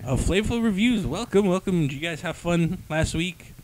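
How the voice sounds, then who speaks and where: A man's voice: high voice, animated, moderate pace, darkish tone, poor audio. Man hosting a casual comics chat. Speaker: host of a comic book review show.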